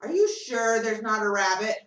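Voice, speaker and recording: A woman voicing a sly male fox in a storybook, gruff and suspicious, in an echoey room from a distance. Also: medium pitch, animated, moderate pace, a bit bright, natural voice. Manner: gruff, suspicious, sniffing around. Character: small sly male fox hunting a rabbit.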